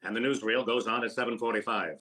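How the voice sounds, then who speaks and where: Guy doing a fast-talking sharp-toned quack doc, old radio comedy, deadpan jokes. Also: lowish voice. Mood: wisecracking. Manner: rapid wisecracking patter, punchlines delivered deadpan. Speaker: actor playing a comedian in a radio recreation. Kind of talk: old-time radio comedy with sung patter.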